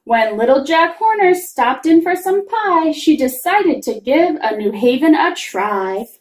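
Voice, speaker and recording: A woman reading a rhyming children's story aloud in an expressive, singsong manner. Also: high voice, a little slow. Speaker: reader of a children's picture book. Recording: roomy, echoing room sound.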